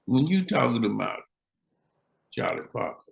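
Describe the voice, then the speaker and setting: Gravelly older man telling stories. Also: medium pitch, sing-song, normal speed, dull audio. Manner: laid-back, slangy, retells old conversations. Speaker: musician guest. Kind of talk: casual chat, storytelling.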